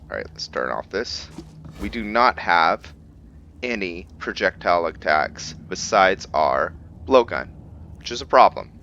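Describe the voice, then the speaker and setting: A low male voice with a bright, forward tone narrates a roleplay playthrough in a casual way. He pauses and thinks aloud as he works out what his character will do. There is noticeable noise behind him on what sounds like a home recording. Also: big pitch swings, highs cut off. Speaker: gamer hosting a roleplay playthrough. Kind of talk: casual gameplay commentary.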